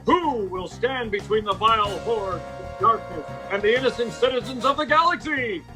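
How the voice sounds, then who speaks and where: A man's voice: high-pitched, lively intonation, normal speed. A man playing a grandiose announcer of a space-hero comedy in bombastic old-time radio serial style, with music behind him. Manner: bombastic, theatrical. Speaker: narrator.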